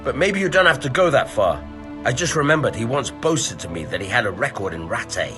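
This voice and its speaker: Game role: courteous local man male actor, mid pitch with swooping delivery, clear music underneath. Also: animated, brisk, natural voice. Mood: helpful. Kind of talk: video game cutscene acting.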